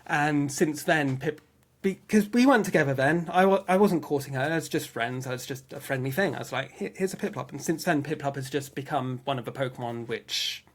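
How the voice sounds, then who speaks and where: A man's voice: fairly high pitch, a little fast. Informal male interview guest, explaining casually.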